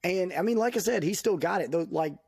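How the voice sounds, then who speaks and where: A man giving his opinions in a casual podcast chat, animated, laughing often and saying "dude" a lot. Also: high voice, fairly quick, creaky, husky, no room sound, studio quality. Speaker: podcast guest.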